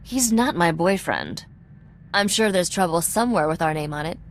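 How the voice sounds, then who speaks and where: Wry, low-voiced royal smuggler woman. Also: lively intonation, moderate pace. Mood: earnest, guarded. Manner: dry, wry, self-assured. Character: sardonic young female smuggler and exiled royal heiress. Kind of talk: video game dialogue acting.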